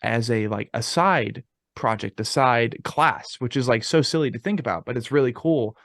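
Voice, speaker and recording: A man in his twenties hosts a casual podcast chat. His voice sits at a middling pitch in a dry, close recording. He is effusive and slangy, and he swears freely. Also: normal speed, no room sound. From the West. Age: 20s. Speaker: podcast host.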